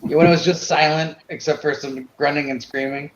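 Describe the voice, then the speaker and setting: A man's voice: fairly high pitch, fairly even intonation, fairly quick, a little hiss or hum. A middle-aged man chatting casually and reminiscing in an informal way. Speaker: podcast co-host. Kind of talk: casual chat. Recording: roomy, slightly muffled sound.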